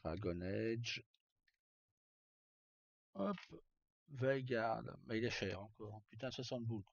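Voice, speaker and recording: A man's voice: fairly low pitch, expressive, slow pace, creaky, muffled recording. A man streaming a game, chatting informally and muttering asides as he plays. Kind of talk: casual chat while playing.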